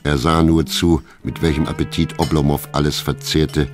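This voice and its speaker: A man narrating a German literary radio play in a measured, sober, detached storytelling manner. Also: a bass voice, level tone. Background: music.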